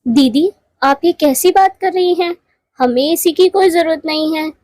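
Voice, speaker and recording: Cartoon younger-sister bird played by a woman: female, modest, courteous, earnest, high-pitched. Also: unhurried, darkish tone, no room sound. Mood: polite, firm. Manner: polite, earnest. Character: humble, honest poor married female bird, younger sister. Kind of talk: Hindi moral cartoon voice acting.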